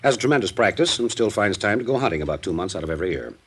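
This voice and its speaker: A man playing a smooth, playful insurance broker in a 1950s radio drama, talking in an offhand, urbane way. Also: fairly low pitch, lively intonation, very fast, natural voice. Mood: businesslike. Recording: old radio recording, faint noise.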